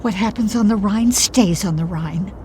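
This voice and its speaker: A woman's voice: medium pitch, moderate pace, warm tone, natural voice. A woman playing an anxious, dramatic middle-aged mother in an audio drama, flustered and a little prim. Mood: flustered, defensive. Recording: faint noise behind the voice.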